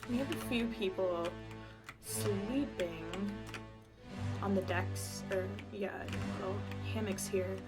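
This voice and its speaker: Slightly hoarse woman thinking aloud. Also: mid-range voice, slow pace, over loud music. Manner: chatty, thinking aloud with many ums. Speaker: game streamer and researcher. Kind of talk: casual livestream commentary.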